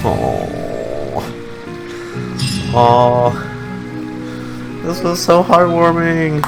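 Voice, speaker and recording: A man giving casual, sentimental reaction commentary as a streamer, with soft exclamations and some swearing. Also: medium pitch, huge pitch swings, very slow, creaky, music in the background.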